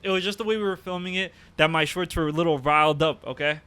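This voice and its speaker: A man's voice: a little high, fast, bright, forceful tone, smooth. A young man co-hosting a podcast, joking and swearing in casual, rambling chat. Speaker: podcast co-host.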